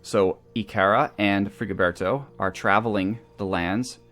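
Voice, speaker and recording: A man's voice: fairly low pitch, measured pace, a bit bright. A man hosting a video game playthrough, talking casually and thinking aloud as he weighs his options. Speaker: host of a gaming series. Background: background music.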